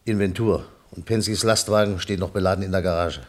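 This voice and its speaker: An actor playing a sombre warehouse manager giving witness testimony in a 1960s German radio crime drama, subdued and deferential. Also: male voice, low voice, fairly even intonation, brisk, natural voice. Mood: cooperative, matter-of-fact.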